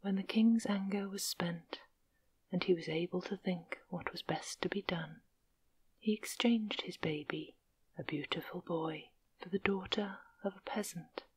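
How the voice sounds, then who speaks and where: A woman reading a fairy tale aloud in a soft, steady whisper. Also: fairly low pitch, husky, low-quality recording. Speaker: storyteller.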